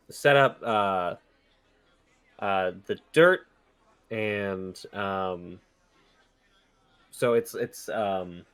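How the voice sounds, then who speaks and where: A man's voice: fairly low pitch, fairly bright tone. A man describing his character's actions in an improvised tabletop roleplaying game, hesitant and searching for words.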